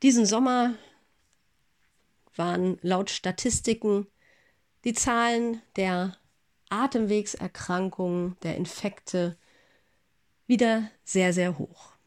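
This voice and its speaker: A middle-aged woman pastor giving a calm spoken devotional, her voice a little congested as she recovers from an infection. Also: medium pitch, slow pace, long pauses, no echo, clean studio sound. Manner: calm, gentle, reflective address to listeners.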